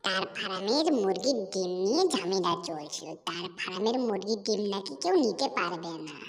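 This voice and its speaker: A woman's voice: mid-range voice, lively intonation, normal speed, close to an everyday voice. A woman voicing a polite cartoon crocodile who explains and mildly protests. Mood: explaining. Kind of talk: Bengali cartoon character dubbing.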